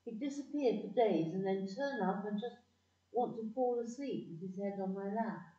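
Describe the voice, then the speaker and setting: A woman plays a wistful female narrator remembering the past in an audio play. Her voice is soft-toned and mid-pitched. The recording is dull and roomy. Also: normal speed, lightly acted. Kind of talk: scripted narration. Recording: dull, echoey, distant microphone.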